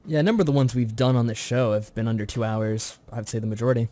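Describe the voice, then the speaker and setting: A man co-hosting a podcast, discussing things casually in a measured, agreeable way. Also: mid-range voice, warm tone. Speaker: podcast co-host.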